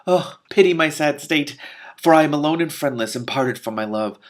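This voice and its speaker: A man's voice: fairly high pitch, expressive, normal speed, voice pushed up, natural voice. A man playing a wailing, melodramatic storybook princess. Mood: woeful, wailing. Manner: theatrical, wailing, archaic and overwrought. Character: melodramatic, woeful young princess pining for her knight.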